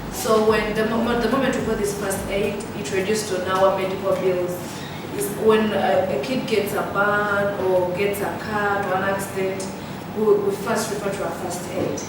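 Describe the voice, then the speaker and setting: A woman's voice: mid-range voice, little pitch movement, normal speed, very noisy. A woman, a parent, speaking in a matter-of-fact way. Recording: echoey and distant.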